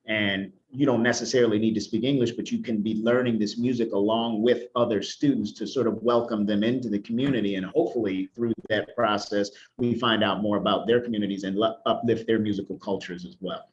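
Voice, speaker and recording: A man's voice: fairly low pitch, fairly even intonation, a little fast, few pauses, slight background noise. Earnest man on a muffled video call. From Detroit, Michigan. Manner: earnest, explanatory, with restarts. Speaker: music educator. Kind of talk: panel discussion answer.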